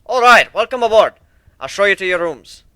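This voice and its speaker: Here is a boastful minotaur airship captain, played by a man reading a story aloud, hearty and brash. The voice is raised and forceful, with folksy salesman patter and a bright edge. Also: sits high, expressive, brisk, no room sound, voice pushed up. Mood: welcoming, hearty. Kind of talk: dramatic fan fiction reading.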